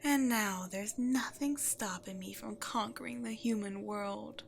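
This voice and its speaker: A woman's voice: medium pitch, unhurried, a little hiss or hum. A woman voicing an imperious, seductive demon queen in a scripted audio roleplay, theatrical and haughty, addressing the listener directly. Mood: smug, intimate. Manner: theatrical, breathy asides, haughty. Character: imperious demon queen, seductive, gloating, easily exasperated villain.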